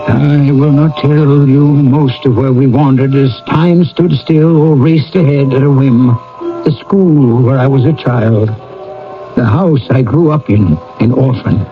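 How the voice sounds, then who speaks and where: A man's voice: medium pitch, steady tone, a little slow, natural voice. An actor playing a frightened, defensive old miser in a radio drama, theatrical and wavering as he tells his tale. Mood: wistful, choked. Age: older. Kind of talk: dramatic radio acting and storytelling. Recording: muffled old radio recording with music.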